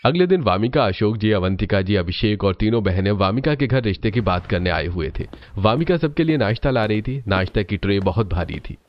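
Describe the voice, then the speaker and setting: A man's voice: lowish voice, animated, brisk, few pauses, highs cut off. A man narrating a scripted cartoon family story as the storyteller.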